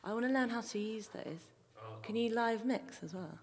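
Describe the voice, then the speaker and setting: A woman's voice: fairly high pitch, normal speed, breathy edge. A woman, a guest musician, chatting casually before a song. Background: plucked guitar.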